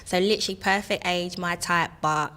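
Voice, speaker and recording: A woman's voice: on the low side, fairly even intonation, moderate pace, sharp tone. A woman in her late twenties, a candid, chatty dating show contestant. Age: 20s. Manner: candid, chatty, filler-heavy.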